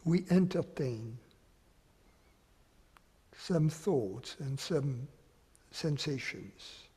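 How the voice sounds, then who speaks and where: A man leading a guided meditation, speaking slowly with long pauses between phrases. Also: medium pitch, expressive, mellow, husky, long reverb tail. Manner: slow, unhurried, with long pauses. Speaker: meditation teacher.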